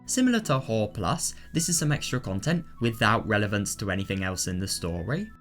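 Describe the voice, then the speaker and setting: A man's voice: fairly low pitch, sing-song, a little fast. A man presenting a video guide in a scripted, methodical, instructional way. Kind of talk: scripted explanatory narration. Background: background music.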